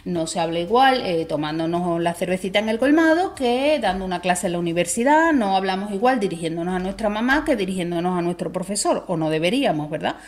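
A woman speaking as a language expert guest in a talk show, explaining in a measured way and often checking in with short tag questions. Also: fairly low pitch, expressive.

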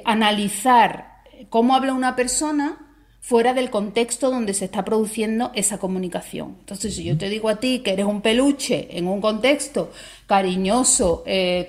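A woman speaking as a language expert guest in a talk show, explaining in a measured way and often checking in with short tag questions. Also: medium pitch.

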